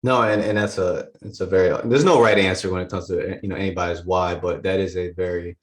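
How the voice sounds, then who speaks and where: Brief: male podcast host, very low voice, friendly interviewer. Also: steady tone, brisk.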